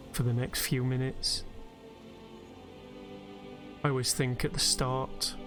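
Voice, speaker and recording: A man narrating a guided meditation over gameplay in a gentle, unhurried, soothing way with pauses. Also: mid-range voice. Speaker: guided relaxation host and gamer. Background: soft background music from a video game.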